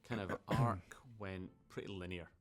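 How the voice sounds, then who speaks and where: A man's voice: low voice, steady tone, measured pace. A man explaining creative decisions in a behind-the-scenes interview as a game developer.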